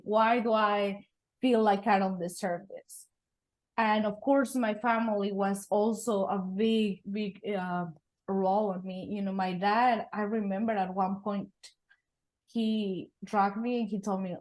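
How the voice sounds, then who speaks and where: Woman, earnest interview guest, average pitch. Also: a little mellow, rough recording. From Mexico. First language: Spanish. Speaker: guest, real estate investor. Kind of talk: interview storytelling.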